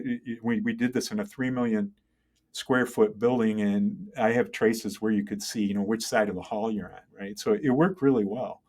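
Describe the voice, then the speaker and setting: A middle-aged man speaking as an interview guest, conversational and halting, thinking aloud and often checking in with the listener. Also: lowish voice, darkish tone. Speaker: interview guest, tech entrepreneur and engineer. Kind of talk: conversational interview, telling career story.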